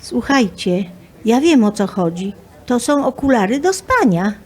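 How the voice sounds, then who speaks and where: Slow-spoken, sly goddess, played by a woman. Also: a little high, big pitch swings, darkish tone, husky, exaggerated voice. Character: Eris, sly self-assured goddess of discord. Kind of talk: amateur radio play acting.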